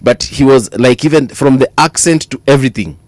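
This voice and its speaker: A man with a Kenyan accent co-hosting a morning radio show, telling animated stories in casual chat and mixing Swahili into his English. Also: mid-range voice, brisk, no room sound. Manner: animated storytelling, mixes Swahili into English, laughs often. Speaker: radio co-host. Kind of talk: casual on-air banter. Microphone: studio microphone.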